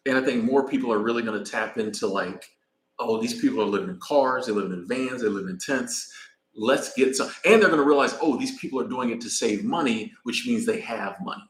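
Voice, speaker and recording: A man with an American accent chats loosely to his live audience in a middle pitch, drifting between stories and shout-outs. His voice carries a roomy echo around it. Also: fairly quick. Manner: rambling, laid-back, thanks viewers by name. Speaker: vlogger. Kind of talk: casual live-stream chat. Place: in Mexico, traveling.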